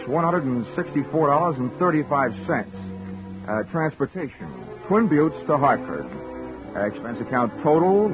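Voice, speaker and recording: A man's voice: medium pitch, close to an everyday voice. Man voicing a wisecracking insurance investigator, dry narration, muffled old radio sound with music behind. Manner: dry wisecracking first-person delivery. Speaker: lead actor. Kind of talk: 1940s radio drama narration.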